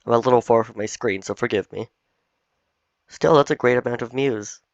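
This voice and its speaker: A man hosting a gaming video, slightly hoarse while recovering from illness, chatting and thinking aloud as he plays. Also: medium pitch, normal speed, no echo. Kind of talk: casual let's-play commentary. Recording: dull, dry home recording.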